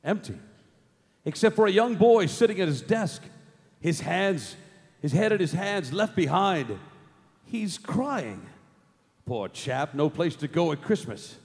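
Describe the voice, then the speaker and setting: An actor playing a fearful, regretful old miser in a staged radio play, with a theatrical, halting delivery. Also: male voice, sits high, animated, a little mellow. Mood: wistful. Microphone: stand microphone. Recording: large theatre PA with long reverb.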